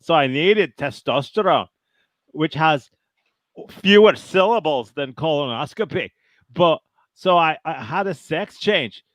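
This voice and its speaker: A man playing a violent, boastful Glasgow man in an improv comedy scene, with a Scottish accent and gruff, deadpan threats. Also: a little high, unhurried, no room sound.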